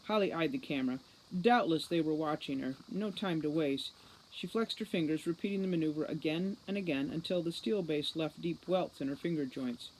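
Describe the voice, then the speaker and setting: A woman narrating a novel aloud as a storybook reader, in an expressive style. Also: low-pitched, fairly quick, slight background noise, poor audio. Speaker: audiobook reader.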